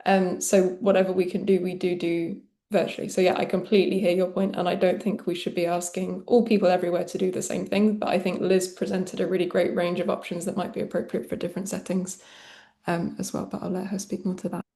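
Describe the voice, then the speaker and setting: A woman presenter answering an audience question on a video call, talking quickly with "like" and "um" fillers. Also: fairly low pitch, level tone, warm tone. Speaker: panel presenter.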